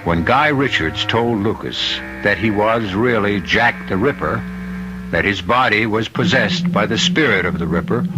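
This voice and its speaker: A man narrating a radio mystery as its host, grave and ominous, with deliberate, suspenseful phrasing. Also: sits low, rough recording. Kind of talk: dramatic scripted radio narration. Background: music.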